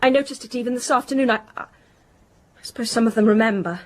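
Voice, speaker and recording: A high-pitched young woman from a well-to-do family acted by a woman in a radio play. She is proud but stricken with guilt. Her delivery is earnest and defensive, faltering as she explains herself. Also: brisk, lightly acted. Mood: remorseful. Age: young adult. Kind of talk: radio drama acting.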